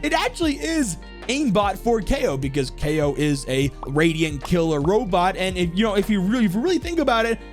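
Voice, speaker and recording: A man's voice: high-pitched, very animated, brisk. A man hosting a video game ranking with casual, enthusiastic, rambling commentary full of slang. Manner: rambling, uses lots of slang. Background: faint music.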